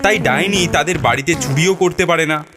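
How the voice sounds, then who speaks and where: A man's voice: on the high side, brisk. A man reading scripted storytelling narration for a cartoon, with music behind him.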